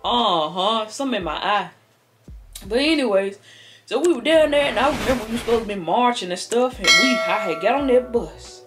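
Sassy, stammering American grandma for comedy skit played by a woman, folksy, highish voice, music underneath. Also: expressive, fairly quick, fairly bright tone. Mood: rambling, sly. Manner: stammering, repeats words, folksy. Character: rambling, sassy old grandmother telling tall tales. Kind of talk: improvised comedic storytelling. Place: living room couch, indoors. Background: background music.

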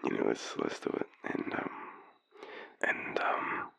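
A man's voice: slow pace, pushed and bright, in a whisper. A man with an Australian accent playing a drunk, shy, apologetic young man in an intimate boyfriend roleplay, stammering through a late-night call. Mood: hushed, tender. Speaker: audio roleplay performer.

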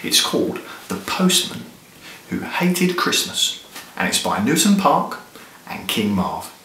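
A man in his thirties casually introducing a story to camera, with pauses. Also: mid-range voice, big pitch swings, bright, forceful tone. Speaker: storyteller introducing a Christmas tale. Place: small living room indoors. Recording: roomy echo, faint background noise.

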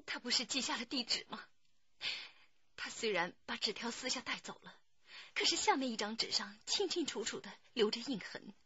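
An actress playing an aggrieved, jealous wife with a husky voice in a radio drama. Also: female voice, on the high side, animated, moderate pace, bright, forceful tone, no room sound, muffled recording, full character voice. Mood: subdued.

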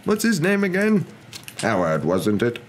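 A man's voice: fairly high pitch, huge pitch swings, unhurried, a little hiss or hum, pitched above the performer's own voice. A man voicing an anxious middle-aged suburban husband who mumbles and hesitates, in a storybook reading. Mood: tentative, nervous.

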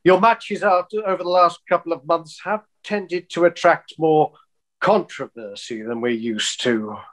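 An actor playing a polite, exasperated county football official, formal and tactful, on a video call. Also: male voice, fairly high pitch, measured pace, natural voice. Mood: tactful, firm. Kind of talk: filmed comedy acting on a video call.

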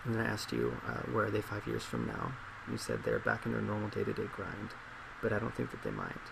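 A man in his twenties in a soft-spoken ASMR role play as a psychologist, measured and reflective. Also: lowish voice, creaky. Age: 20s. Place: small indoor office at a desk. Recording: steady loud laptop fan hiss.

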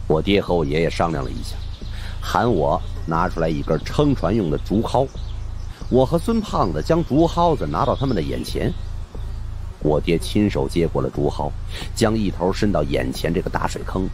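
A man's voice: low-pitched, a little mellow, slight background noise. A man narrating a horror story in a suspenseful, theatrical way. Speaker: audiobook narrator.

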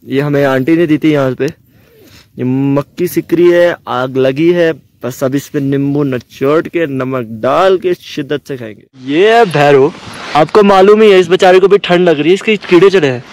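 A young man chatting excitedly to viewers while camping on a mountain meadow, filmed on an action camera. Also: fairly high pitch, moderate pace, dry recording. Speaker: travel vlogger. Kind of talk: casual camping vlog. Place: outdoors on a mountain meadow by a campfire.

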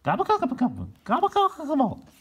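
A man voicing an excitable flock of turkeys in a storybook, exclaiming shrilly and repeatedly. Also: very high-pitched, quick pace, pitched above the performer's own voice, full character voice. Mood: jubilant.

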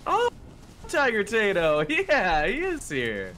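A man on a gaming stream reading chat aloud and joking with his teammates, playful and excitable. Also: sits high, takes it slowly. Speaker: fellow streamer.

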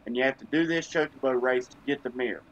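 A man's voice: medium pitch, fast talker, dull audio. A man giving casual, laid-back gameplay commentary as a gaming video host, with informal, slangy phrasing. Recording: game music behind the voice. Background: video game music.

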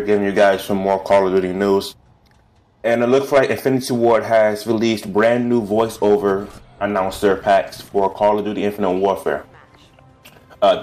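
A man giving casual gaming news commentary as a YouTuber, with a halting, hesitant delivery. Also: lowish voice, darkish tone.

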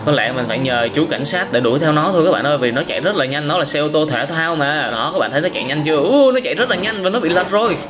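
A man's voice: fairly high pitch, animated, racing, few pauses, crowd noise. A man in his thirties giving exclamatory, simple storytelling commentary on game footage, as if for children. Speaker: host of gaming reaction videos for children. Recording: noisy, car engine sounds behind.

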